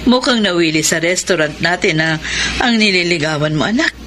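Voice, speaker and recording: A kindly middle-aged Filipino woman, played by an actress, a motherly business owner in a radio drama. Her voice is fairly low with very lively swings, over a music bed. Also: moderate pace, lightly acted. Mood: amused, soothing. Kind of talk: radio drama acting in Tagalog.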